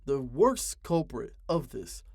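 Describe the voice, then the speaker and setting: A man's voice: mid-range voice, sing-song, takes it slowly, darkish tone, no room sound, clean studio sound. A man in his twenties narrating a ranting video essay, exasperated and profane, with heavy emphasis. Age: 20s.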